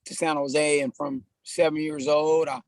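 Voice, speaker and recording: A relaxed man in his thirties with a gravelly edge and a slightly high pitch, chatting as a podcast guest. He talks loosely, restarts his sentences and drops in "man" as he goes. The sound is dry and close. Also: a little slow, dry recording. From California, US. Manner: laid-back, frequent "man" and restarts. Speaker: podcast guest, personal trainer. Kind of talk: casual conversational interview.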